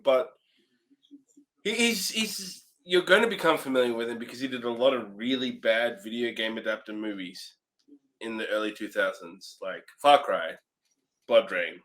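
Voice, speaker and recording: A man gives casual film commentary as co-host of a review show. His voice is mid-range in pitch, with animated intonation that moves up and down a lot. The delivery is conversational and unscripted. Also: moderate pace.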